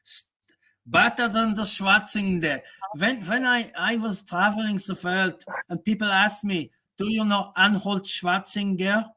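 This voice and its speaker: A man voicing a boastful, bossy male German professor, rambling slowly in a mock German accent on a comic live stream. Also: high voice, fairly even intonation, sharp tone, low-quality recording. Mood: commanding. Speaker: guest.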